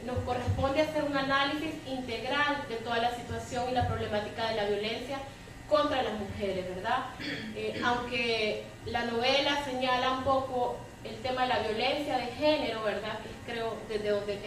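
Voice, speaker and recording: Lady explaining stuff on a panel, lots of tag questions, far from mic, bit of noise. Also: fairly high pitch. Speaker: panelist, women's rights advocate. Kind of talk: extemporaneous panel commentary. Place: public debate room with audience. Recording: very echoey hall, distant mic, faint noise.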